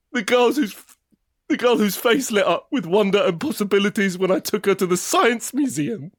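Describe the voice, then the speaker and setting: A man's voice: high voice, heavily acted. A man acting a desperate, anguished middle-aged father in a post-apocalyptic audio drama, narrating in the first person with raw intensity. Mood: anguished grief. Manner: intense, emotionally raw, sweary. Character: desperate, anguished father surviving an apocalypse, haunted and guilt-ridden.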